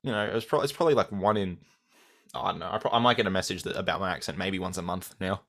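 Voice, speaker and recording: Man, Australian accent, slightly low voice, measured, thoughtful, frequent restarts, young adult. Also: vocal fry, a bit bright. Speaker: guest, audio content creator. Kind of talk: casual interview answers.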